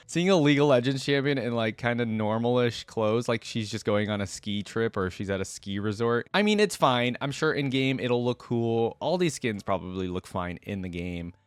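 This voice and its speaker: A man's voice: mid-range voice, clear, resonant tone, dry recording. A man giving casual, opinionated reaction commentary as a host, musing aloud in an informal way.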